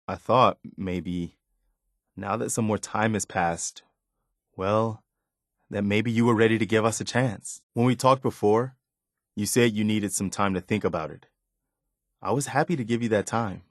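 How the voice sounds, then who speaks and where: An actor playing an earnest, loyal, plain-spoken militia man in a video game, sincere and measured. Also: on the low side, dry recording, studio quality. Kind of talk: naturalistic video game dialogue.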